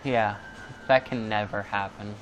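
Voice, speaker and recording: A man's voice: lowish voice, steady tone, measured pace, a bit bright, low-quality recording. A man giving casual let's play commentary, rambling and thinking aloud as he goes. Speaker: gaming YouTuber. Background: game music.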